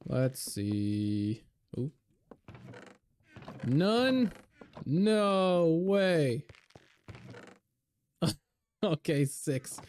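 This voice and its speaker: A man talking casually over gameplay, hoarse and a little raspy from being sick, rambling with lots of fillers. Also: on the high side, very slow, pauses often, slight background noise. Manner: relaxed, rambling, many fillers. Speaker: game video creator.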